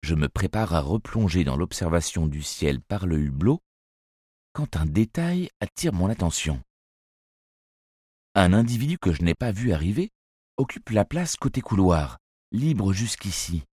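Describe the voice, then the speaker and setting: A man reading a children's novel aloud as first-person narrator, in a measured, expressive storytelling manner. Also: deep voice, husky, no echo, studio quality. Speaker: audiobook narrator.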